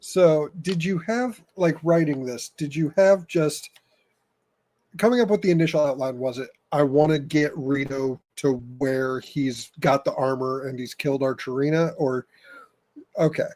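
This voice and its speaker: A man hosting a podcast in casual interview chat, informal and halting as he thinks aloud. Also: fairly high pitch, darkish tone. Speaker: podcast host.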